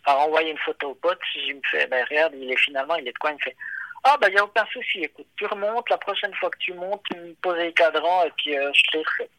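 Swiss French man, very bright voice, dry close sound, hesitant casual interviewee. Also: fairly high pitch, fast, no echo, low-quality recording. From Switzerland. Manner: hesitant, many fillers and restarts. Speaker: watchmaker and guest.

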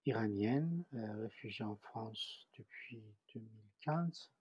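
A man with a Persian accent speaks slowly and haltingly, stopping to hunt for the right French words. His voice is husky and mellow. The recording sounds slightly dull and dry. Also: on the low side, lively intonation, no echo. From Iran. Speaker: interviewee. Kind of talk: personal testimony.

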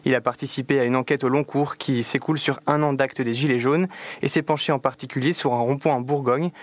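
A man's voice: mid-range voice, fairly even intonation, brisk. A man introducing a guest and asking questions as a radio reporter.

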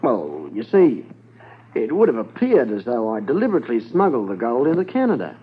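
An actor playing an evasive gold smuggler in a 1950s radio drama, polite, formal and full of self-justification. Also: male voice, medium pitch, huge pitch swings, fairly quick, husky. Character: smooth-talking, evasive male gold smuggler under questioning. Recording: tinny 1950s radio transcription.

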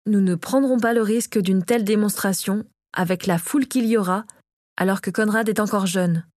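A woman's voice: mid-range voice, normal speed, darkish tone, dry recording, close to an everyday voice. Storybook father, played by a female narrator: firm, protective, indignant, closely dry.

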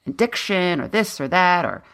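Podcast host: low voice, earnest and personal, conversational interviewer. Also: animated, moderate pace, gravelly, fairly bright tone. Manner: earnest, personal, shares own story.

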